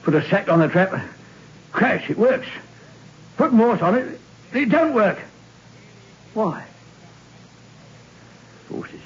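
A man's voice: very animated, takes it slowly, long pauses, slightly rough, exaggerated voice. A drunk, gibbering hangman. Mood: hysterical. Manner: gibbering, shrill and breathless. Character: drunk terrified male hangman raving about ghosts. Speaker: prison hangman. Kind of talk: 1960s radio drama acting. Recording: dull lo-fi old radio recording.

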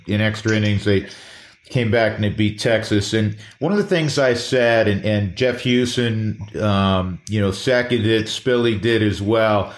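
Chatty male host in a roomy space. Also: medium pitch. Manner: chatty, long wind-up questions. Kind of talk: conversational interview. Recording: roomy echo.

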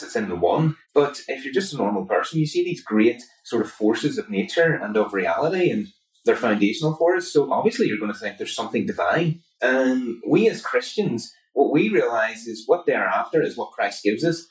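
A man's voice: mid-range voice, expressive, fairly quick. A man from Northern Ireland with a Northern Irish accent, a guest academic answering interview questions in an earnest, explanatory way. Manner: earnest, explanatory, builds points steadily. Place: conference venue. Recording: roomy, from far off mic.

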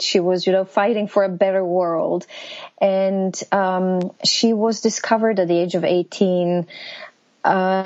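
A woman's voice: medium pitch, darkish tone, lo-fi sound. A middle-aged woman from Croatia, speaking earnestly as an interview guest with frequent restarts. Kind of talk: interview conversation.

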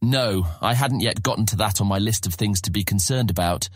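Young skeptical guildmaster, fantasy audio drama. Lower-leaning pitch, sardonic, very dry recording. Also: male voice, fairly quick, husky, no echo. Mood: wry, bitter. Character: young, wry, skeptical new guildmaster of thieves.

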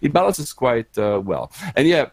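A man's voice: mid-range voice, very animated, normal speed, a little mellow. A middle-aged man from Switzerland whose first language is French, chatting casually as a podcast guest with many fillers and restarts. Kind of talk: casual chat on a live stream.

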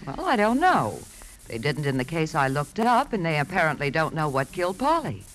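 An actor playing an inquisitive, stubbornly suspicious writer wife in a 1950s radio drama. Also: male voice, on the high side, huge pitch swings, normal speed, a bit bright. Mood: suspicious, insistent. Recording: noisy 1950s radio broadcast recording.

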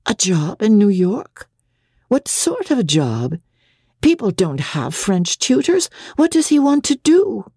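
A woman's voice: medium pitch, huge pitch swings, slow pace, dark tone, breathy edge, no echo, studio quality, voice pushed up, exaggerated voice. A woman voicing a young society wife, cool, snobbish and naive, in a dramatic audiobook reading. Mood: bewildered surprise. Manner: cool, genteel, dismissive.

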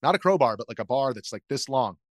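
A man's voice: mid-range voice, animated, fast, no room sound, studio quality. An American man being interviewed, telling anecdotes informally, interrupting himself and acting out what people said. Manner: informal, self-interrupting storytelling with acted-out quotes. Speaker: interview guest, video journalist. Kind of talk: casual anecdotal interview talk.

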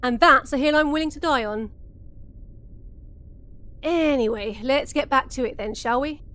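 A woman's voice: high-pitched, unhurried, background noise. A woman playing a sarcastic, easygoing, foul-mouthed spaceship show host, rambling and hesitant in an audio drama comedy. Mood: sheepish, defiant.

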